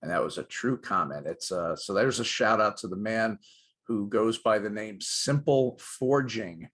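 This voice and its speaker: A man's voice: fairly low pitch, unhurried, fairly bright tone, breathy edge. A middle-aged man co-hosting a sports show with light-hearted banter and dry, self-deprecating jokes.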